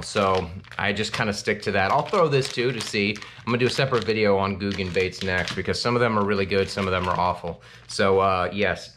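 A man's voice: fairly low pitch, slight background noise. A man in his thirties hosting a fishing channel, chatting casually to camera from a small home office, with lots of ums and restarts. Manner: relaxed, rambling, with frequent ums and restarts. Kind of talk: casual gear talk to camera.

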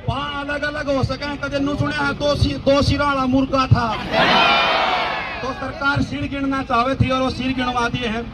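A man's voice: extremely high pitch, monotone, normal speed. A middle-aged man with a Haryanvi accent giving a folksy, joking rally speech into a handheld mic at an outdoor protest. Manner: folksy, joking crowd address. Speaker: protest organizer and coaching teacher. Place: outdoor street protest crowd. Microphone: handheld microphone. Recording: distant mic, loud crowd and traffic.

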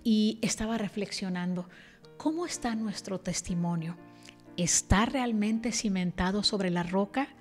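A woman's voice: mid-range voice, a little slow. Earnest older woman teaching over music. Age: older, about 60. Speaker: religious teacher presenting a scripture lesson. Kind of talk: devotional scripture lesson to camera. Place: indoor room, seated at a desk. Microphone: desk microphone. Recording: music playing behind the voice. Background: background music.

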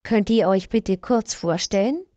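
Female narrator, slow and deliberate, dry close sound, for learner stories. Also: medium pitch, dark tone, dry recording, muffled recording. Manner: slow, clear, evenly paced reading. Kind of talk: slow scripted story reading for learners.

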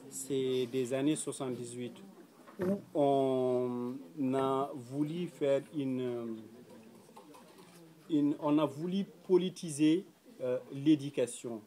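A young man from Mauritania speaks at a round table, his voice mellow and dark in tone. He talks earnestly and carefully, with frequent hesitations and fillers. He is recorded in a small indoor room by a camera set a few feet away. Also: medium pitch, takes it slowly. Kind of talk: public talk at a round table.

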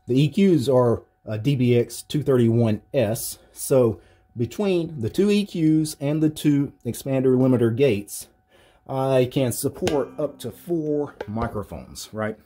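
A man in his thirties with a deep voice, casually walking through his home studio gear, rambling with fillers and restarts. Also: measured pace, dark tone. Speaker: tech YouTuber. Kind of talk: casual equipment walkthrough. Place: small home studio with acoustic foam panels.

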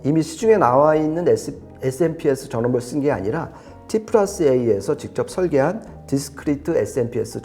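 A man's voice: mid-range voice, creaky, mellow. A man giving an unscripted technical product review in a conversational, explanatory and emphatic way. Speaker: audio equipment reviewer explaining specs.

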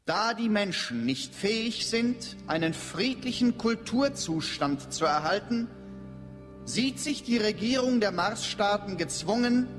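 An actress playing a solemn official, proclaiming a decree in measured, declamatory radio drama style. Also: female voice, mid-range voice, animated, close to an everyday voice. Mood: solemn, commanding. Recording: long reverb, loud music behind.

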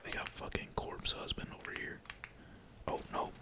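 A man whispering slangy, sweary ASMR commentary while playing a video game at home, keys typing behind him. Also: moderate pace. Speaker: gaming ASMR streamer. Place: indoors at home, at a computer. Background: keyboard typing.

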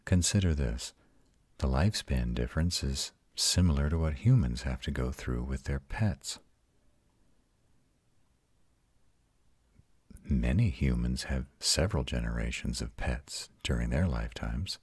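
Ancient male vampire, played by a man, intimate audio roleplay; bottomless bass, dry close sound, tender and reassuring. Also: lively intonation, dry recording, heavily acted. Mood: gentle, reassuring. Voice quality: very deep, husky. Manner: soft, intimate, slow reassurance. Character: ancient arrogant male vampire tenderly comforting his mate. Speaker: voice actor.

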